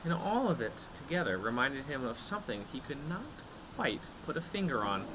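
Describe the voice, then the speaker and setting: A man reads a picture book aloud in a medium-pitched, highly animated voice. He stretches words for drama and leaves suspenseful pauses, like a storyteller for young children. There is a light background noise on the recording.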